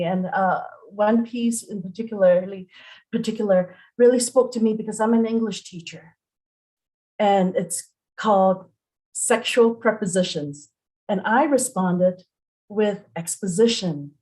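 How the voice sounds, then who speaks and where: A middle-aged woman poet performs at an open mic. She speaks slowly and deliberately, with an earnest manner. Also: medium pitch, a little mellow, rough recording. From Singapore. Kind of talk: spoken introduction to open mic poetry. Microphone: online video call at home.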